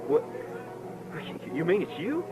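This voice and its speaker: A man's voice: high-pitched, wildly swooping pitch, slow, exaggerated voice. A man playing an earnest, polite young farm boy with a rural Western American accent in a 1950s radio western. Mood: flustered. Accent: rural American West. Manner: hesitant, folksy, respectful. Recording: muffled old radio broadcast, background bustle.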